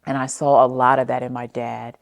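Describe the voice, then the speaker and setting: Very deep-voiced American woman, reflective. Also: level tone, brisk, vocal fry, no echo. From New Jersey, United States. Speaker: interviewee sharing family history. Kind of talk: personal oral-history storytelling for documentary.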